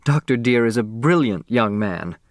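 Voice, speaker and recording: A man's voice: medium pitch, expressive, normal speed, dry recording, clean studio sound, close to an everyday voice. A man voicing a snobbish, fussy middle-aged mother in an audiobook, with a haughty manner. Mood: gushing. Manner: haughty, scolding, gushing.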